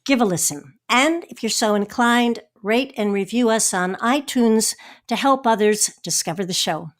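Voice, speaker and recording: A woman's voice: a little high, moderate pace. A woman hosting a podcast, reading scripted announcements and introductions. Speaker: podcast host. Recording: music behind the voice. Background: music.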